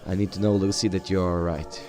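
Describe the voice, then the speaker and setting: Low-voiced, halting male gamer. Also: flat delivery, warm tone. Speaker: gamer role-playing a game character. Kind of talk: improvised in-character game dialogue. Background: game music.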